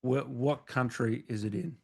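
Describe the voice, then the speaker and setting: A man's voice: mid-range voice, unhurried, gravelly, slightly rough. A man hosting a casual interview, chiming in with short eager replies and the odd swear word. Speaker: host.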